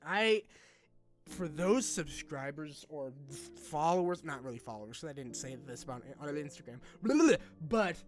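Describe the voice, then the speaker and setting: Young male vlogger, voice a bit on the high side, informal and halting, colloquial phrasing, light music underneath. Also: animated. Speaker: online video creator. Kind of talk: informal personal vlog, talking to subscribers.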